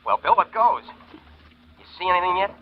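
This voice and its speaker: A man's voice: high voice, very animated, a little fast. An actor playing a treacherous male diving partner in a 1940s radio drama, sly and mocking. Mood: eager. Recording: tinny old radio with faint hiss.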